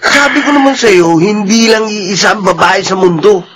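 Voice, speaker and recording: A blunt male sidekick in a Filipino radio drama. His voice is very high for a man. He scolds and teases mockingly. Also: moderate pace, fairly bright tone, breathy edge. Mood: scolding. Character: blunt, teasing male best friend of the suitor.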